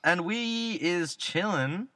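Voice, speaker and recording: A man's voice: high voice, expressive, slow pace, sharp tone, dry recording. A man in his thirties with an Australian accent, co-hosting a casual podcast, rambling and joking with restarts. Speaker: podcast co-host. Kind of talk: casual chat.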